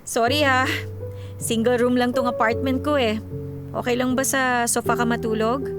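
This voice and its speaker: An actress with a Filipino accent playing a devoted, hardworking young migrant worker and encouraging best friend in a radio drama. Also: female voice, fairly high pitch, steady tone, normal speed, over music, close to an everyday voice. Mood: warm, caring. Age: young adult.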